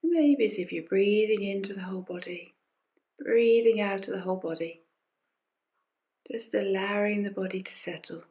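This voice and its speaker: A woman leading a guided meditation as a teacher, speaking gently and unhurriedly in short phrases with pauses and soft invitations. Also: mid-range voice. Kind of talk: guided body scan meditation. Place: recorded during an online session.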